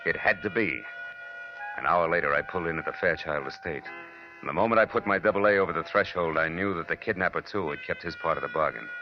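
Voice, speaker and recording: A man's voice: deep voice, fast. A man with an American accent playing a cynical, wisecracking private detective who narrates his own case in a 1940s radio drama. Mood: wry, driven. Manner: hardboiled, wry, slangy. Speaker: narrator and lead actor. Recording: muffled vintage radio broadcast with music.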